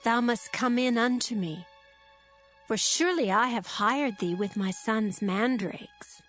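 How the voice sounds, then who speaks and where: A woman acts a proud, aggrieved wife of ancient times in an audio Bible drama. The voice is high and the delivery slow and emphatic, with music underneath. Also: lively intonation, a bit bright. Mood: indignant, insistent. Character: Leah, proud, aggrieved biblical wife and mother.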